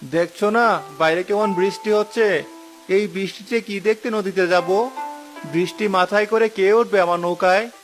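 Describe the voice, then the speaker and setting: A high-pitched, lazy village husband from a cartoon , acted by a man. He talks in an easygoing, teasing way, with music playing behind him. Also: moderate pace, lightly acted. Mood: grumbling, defensive. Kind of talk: cartoon story dialogue.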